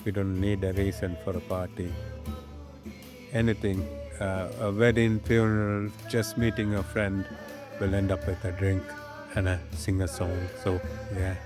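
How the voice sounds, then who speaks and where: A man in his fifties with a Sri Lankan accent, reminiscing in an interview and often pausing mid-phrase to find his words. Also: deep voice, level tone, music in the background. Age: 50s.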